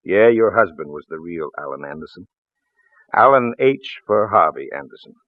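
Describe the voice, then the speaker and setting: An actor playing a folksy, shrewd small-town police lieutenant in a 1940s radio drama, hesitant and apologetic in manner. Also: male voice, low-pitched, animated, moderate pace, no echo. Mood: shrewd, regretful. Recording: muffled vintage radio recording, phone-like band.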